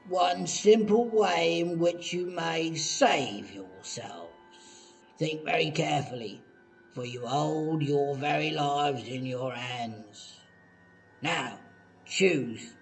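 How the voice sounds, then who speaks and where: Alien warship captain, played by a man: slow, gravelly, pompous and menacing, amateur audio drama. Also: a little high. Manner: theatrical, pompous, deliberate. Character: menacing, callous male alien spaceship captain who writes poetry.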